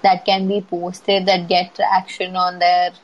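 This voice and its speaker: A woman's voice: fairly low pitch, monotone, unhurried, lo-fi sound. A woman in casual conversation, talking candidly about writing and makeup, swearing freely and acting out quotes. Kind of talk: casual chat.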